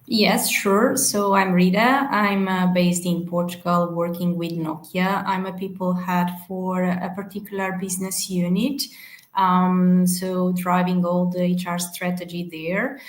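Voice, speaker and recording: A woman panelist introducing herself calmly on a video call. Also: lowish voice, a little slow. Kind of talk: panel discussion. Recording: echoey room.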